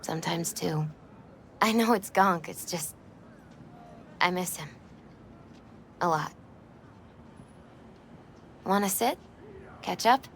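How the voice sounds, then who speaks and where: Low-voiced wistful grieving girlfriend. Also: takes it slowly, pauses often, a little hiss or hum. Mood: wistful, sad. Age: 20s. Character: wistful twenty-six-year-old grieving girlfriend, soft-hearted, melancholy. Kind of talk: cinematic video game acting.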